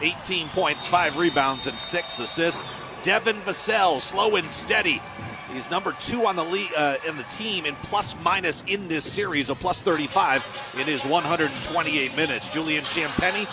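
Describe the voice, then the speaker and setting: Male American sports radio host, higher-voiced, pregame commentary over music. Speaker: radio basketball play-by-play host. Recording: live arena broadcast with music behind. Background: music and arena sounds.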